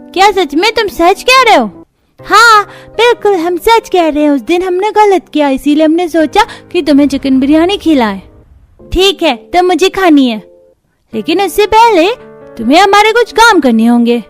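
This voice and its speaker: A woman's voice: extremely high pitch, expressive, normal speed, faint music behind. A woman voicing an insistent young village child in a cartoon story. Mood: bossy.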